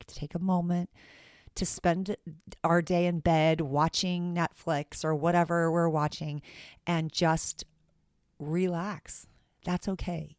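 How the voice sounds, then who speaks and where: A woman hosting her own podcast in casual solo talk, her voice raspy and hoarse from strained vocal cords, chatty and encouraging. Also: low-pitched, a little mellow, no echo, studio quality. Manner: chatty, encouraging, laughs often. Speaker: podcast host, former teacher.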